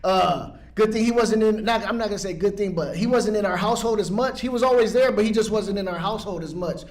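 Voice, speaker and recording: A man's voice: high-pitched, fast. A young man from San Pedro, California, telling his recovery story at a meeting in a casual, rambling way with many restarts.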